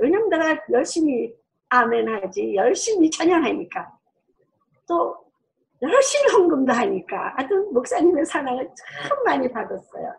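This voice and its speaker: High-voiced woman pastor preaching. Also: creaky, low-quality recording. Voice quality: loud, strong voice. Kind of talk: sermon with personal testimony.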